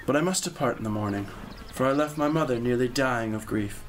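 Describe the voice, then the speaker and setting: A man's voice: mid-range voice, brisk, slight background noise, close to an everyday voice. An actor playing an eager, naive young male knight in an audio drama, polite and earnest in his questions. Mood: earnest, courteous. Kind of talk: audio drama acting of a medieval legend.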